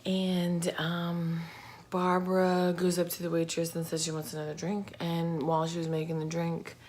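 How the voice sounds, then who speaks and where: A woman telling a true crime story in a casual, informal, slangy and halting way. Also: lowish voice, completely flat delivery, darkish tone. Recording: clear background noise.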